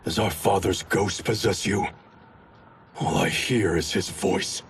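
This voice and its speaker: An actor playing a proud, cold, ambitious ninja grandmaster in a video game, haughty, stern and clipped. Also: male voice, sits low, fairly even intonation, takes it slowly, slight background noise. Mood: proud, defiant. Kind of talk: dramatic video game cutscene acting.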